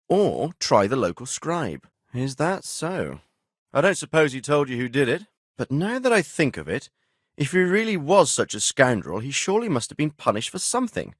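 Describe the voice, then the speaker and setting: An actor playing a middle-aged medieval nobleman commander in a video game, grave, fatherly and authoritative, speaking in a measured, formal way. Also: medium pitch, dry recording, clean studio sound. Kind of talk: dramatic video game dialogue acting.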